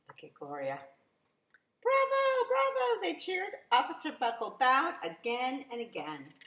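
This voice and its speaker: A woman reading a picture book aloud to young children as a teacher, very expressively, with drawn-out emphasis. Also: mid-range voice, a bit bright, slight background noise. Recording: roomy, echoing sound.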